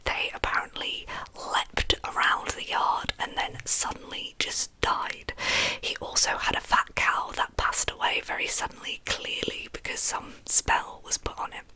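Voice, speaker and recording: A woman with a lisp whispers softly and breathily as an ASMR host, telling true crime stories in an informal, chatty way. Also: measured pace, low-quality recording.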